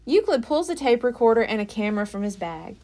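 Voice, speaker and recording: A woman reads a children's picture book aloud. Her voice sits fairly high and swings widely in pitch, giving the narration an expressive, animated shape. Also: quick pace. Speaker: storybook reader.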